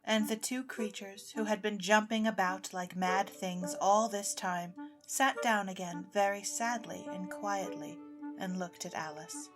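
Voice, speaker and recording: A woman's voice: mid-range voice, measured pace, music in the background. A woman giving scripted storybook narration as the narrator of an audio drama.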